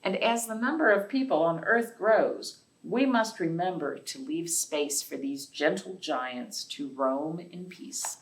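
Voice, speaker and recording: A woman with a fairly low voice leads a storytime for young children. She reads aloud and explains things in simple words, speaking to the kids directly with questions and chatty asides. She sounds some distance from the microphone. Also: unhurried, few pauses. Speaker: library storytime host. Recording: distant, off-mic voice.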